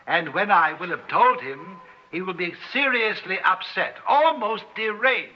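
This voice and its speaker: An arrogant scientist speaks in a notably high voice, lecturing with pompous precision. It is old-time radio drama, with the dull, narrow sound of a vintage broadcast. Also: male voice, a little slow. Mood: smug, lecturing. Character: arrogant male scientist coolly presenting his findings. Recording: dull, band-limited old radio recording.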